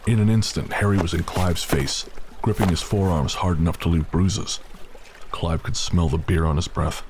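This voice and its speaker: A man's voice: low-pitched, fairly even intonation, moderate pace, noisy background. A man narrating a scripted audio drama as its storyteller, recorded with ambience behind him.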